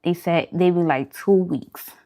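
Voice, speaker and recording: A woman with a slightly low voice talks casually to her viewers as a food vlog host. She speaks in colloquial slang and leans on emphatic repeats, like someone telling a story to friends. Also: a little slow, mellow. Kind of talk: casual chat to viewers during a mukbang livestream.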